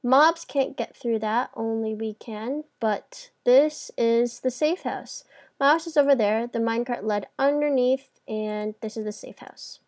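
A woman's voice: fairly high pitch, a little slow. A woman hosting a video game tour, chatting informally as she gives casual commentary on what she is showing.

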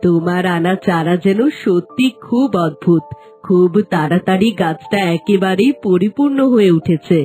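A woman playing a calm, motherly royal lady in a cartoon fairy tale, soothing and measured. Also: fairly low pitch, faint music behind, close to an everyday voice. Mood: admiring.

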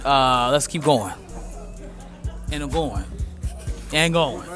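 A man's voice: medium pitch, animated, slow, fairly bright tone, faint music behind. A young man puzzled by a street interview question who brushes it off. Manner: confused, questioning, dismissive.

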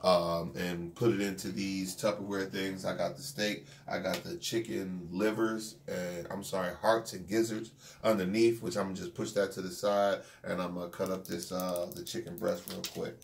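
A man's voice: sits low, moderate pace, creaky. A man in his forties talking casually while cutting meat for his kennel dogs in his backyard. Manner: relaxed, rambling, with fillers and chuckles. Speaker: dog kennel owner. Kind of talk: casual how-to vlog. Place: outdoor backyard patio. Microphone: phone camera from a few feet away. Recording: distant phone mic, noisy outdoors.

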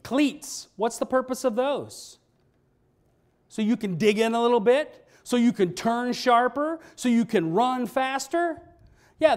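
A man's voice: high-pitched, a little mellow. A middle-aged man preaching as pastor in a church, speaking in a conversational way and asking the congregation questions. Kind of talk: sermon. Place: church sanctuary, standing at a pulpit. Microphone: pulpit microphone, filmed by a fixed camera.

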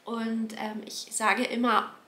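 A woman's voice: fairly high pitch, flat delivery, slow, bright, forceful tone, roomy sound, slight background noise. A woman in her forties, a beauty vlogger with a slightly nasal voice from a cold, chatting through a product update at home in a rambling way, with many fillers and self-corrections. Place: home room, indoors.

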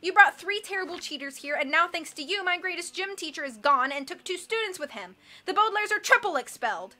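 A woman's voice: very high-pitched, lively intonation, a little fast, bright, forceful tone, pitched above the performer's own voice, heavily acted. A woman voicing a petty, pompous, spiteful male vice principal in an audiobook, scolding. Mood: indignant scolding. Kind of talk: audiobook character acting.

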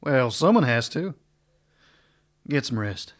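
A weary, guarded marshal in a western radio serial. He speaks tersely at a middle pitch. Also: big pitch swings, slow pace. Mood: grave. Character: weary gruff male marshal. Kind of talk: radio drama acting.

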